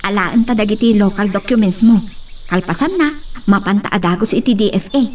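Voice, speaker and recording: A woman's voice: mid-range voice, a little fast, darkish tone, natural voice. A young woman playing a polite, reassuring young village woman. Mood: kind. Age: young adult. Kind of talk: amateur drama acting. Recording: distant mic with faint insect noise.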